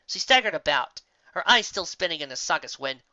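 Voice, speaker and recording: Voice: medium pitch, animated, a little fast, bright, forceful tone, no echo. A narrator reading a fan fiction story aloud as a dramatic audiobook, in a slightly dull, dry recording.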